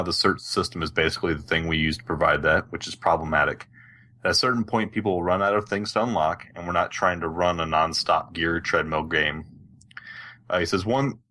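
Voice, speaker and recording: A man with a very low voice that still sounds bright and forceful. He co-hosts a gaming podcast in a casual, conversational style. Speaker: podcast co-host. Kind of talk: casual gaming podcast chat, some reading aloud.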